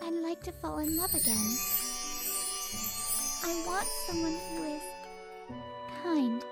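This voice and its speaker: A woman's voice: extremely high pitch, slow, loud music behind the voice, heavily acted. A woman voicing a fierce, blunt wronged wife. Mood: soft. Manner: sharp and scolding, soft when confiding. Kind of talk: manga video voice acting.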